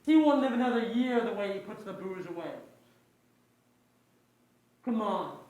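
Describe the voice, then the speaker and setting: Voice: medium pitch, expressive, normal speed, darkish tone, natural voice. An actor as a scheming noir crook, echoey stage. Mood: calculating, pressing. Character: hard-boiled, scheming crime partner pushing a deal. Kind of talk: stage play acting, 1940s noir.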